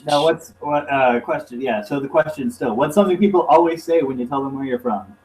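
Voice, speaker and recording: A young man co-hosting a casual chat, dropping quick interjections and teasing questions. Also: medium pitch, darkish tone, slight background noise. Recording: distant mic.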